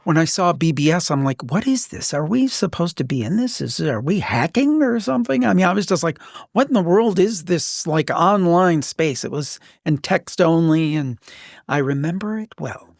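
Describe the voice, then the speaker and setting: A man with a fairly high-pitched voice hosts a casual interview. He sounds enthusiastic and informal, recorded dry with no audible room. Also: animated, normal speed, gravelly, no room sound. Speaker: podcast host, interviewer.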